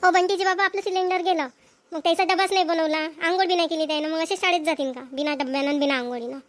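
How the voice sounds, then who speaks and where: A woman's voice: extremely high pitch, fairly even intonation, fast, dry recording. A woman playing a practical, nagging village wife and mother in a cartoon comedy, with a Vidarbha Marathi accent. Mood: explaining.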